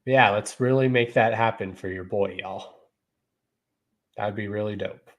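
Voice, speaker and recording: Laid-back low-voiced man in his thirties. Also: a little slow. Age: 30s. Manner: laid-back, repeats "you know" and "y'all". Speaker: podcast host. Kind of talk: casual monologue to viewers.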